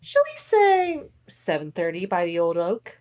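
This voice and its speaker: A woman's voice: fairly low pitch, huge pitch swings, unhurried, a little mellow. Woman reading a fussy, eager-to-please king. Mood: ingratiating. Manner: overly polite, eager to please. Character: pompous male king, anxious to make amends. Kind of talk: amateur table read.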